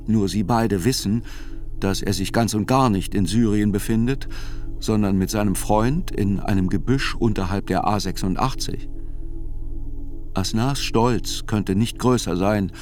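A man narrates a radio play from a literary script. His voice is on the low side. Soft music sits underneath. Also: measured pace.

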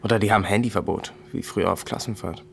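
A man's voice: fairly low pitch, fairly even intonation, fast talker, gravelly. An easygoing teen boy, noisy background. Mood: wry. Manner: casual, wry, youth slang. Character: teenage boy, loyal joking best friend, easygoing. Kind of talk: naturalistic radio drama acting.

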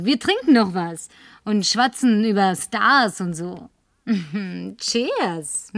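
A woman's voice: mid-range voice, no room sound, clean studio sound. A woman dubbing a lonely, tipsy, flirtatious woman, talking in a coaxing, whispery way that at times turns demanding. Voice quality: breathy, whispery. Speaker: advertising agency woman. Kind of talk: German film dub.

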